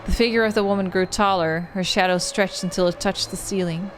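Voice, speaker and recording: A woman's voice: medium pitch, steady tone, a little fast, slight background noise, lightly acted. A woman playing an earnest horror-game protagonist, reading the narration in a dramatic storytelling manner. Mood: tense dread.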